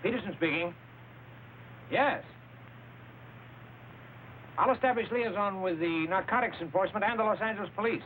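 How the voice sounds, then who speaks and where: High-pitched customs officer, played by a man. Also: normal speed, long pauses, natural voice. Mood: brisk, official. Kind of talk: old-time radio drama acting. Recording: tinny hissy old radio phone sound.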